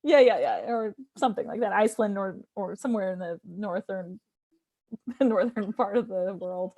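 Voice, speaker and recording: A woman's voice: mid-range voice, normal speed, warm tone. American woman in casual, laughter-prone conversation. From Fort Wayne, Indiana, United States. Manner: informal, laughs often while talking. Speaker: choir conductor.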